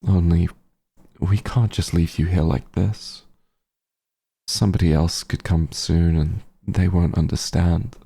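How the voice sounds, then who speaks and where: A man playing a remorseful werewolf hunter in an intimate fantasy audio roleplay, speaking gently and haltingly with a husky, emotional voice. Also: sits low, fairly even intonation, heavily acted. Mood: worried, determined. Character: werewolf hunter, tender and remorseful, reunited with lost friend. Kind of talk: roleplay audio drama, intimate monologue to listener.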